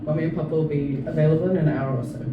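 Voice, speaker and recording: A woman's voice: sits low, steady tone, very fast, distant mic, lo-fi sound. Self-mocking woman comedian in an echoey club. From South Africa. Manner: chatty, self-mocking, laughs at her own jokes. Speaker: stand-up comedian. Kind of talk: stand-up comedy set. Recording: echoey room, mic from afar, noisy.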